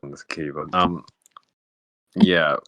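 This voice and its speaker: A man in a casual, reflective discussion, speaking haltingly as he searches for words. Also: extremely low pitch, fairly even intonation, fairly bright tone. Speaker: book club discussion participant.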